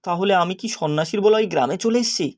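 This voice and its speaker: A man plays a discontented young fisherman in a cartoon fable. His voice sits high for a male. He sounds naive and earnest, with a plaintive way of talking. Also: very fast, a little mellow. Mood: bewildered. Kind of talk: animated story character acting.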